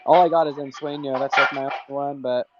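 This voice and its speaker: A man's voice: mid-range voice, fairly even intonation, quick pace, heavy background noise. A man hosting a casual online audio chat, talking in a loose, rambling way with lots of fillers. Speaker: host. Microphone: remote call audio. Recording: dull lo-fi online call audio.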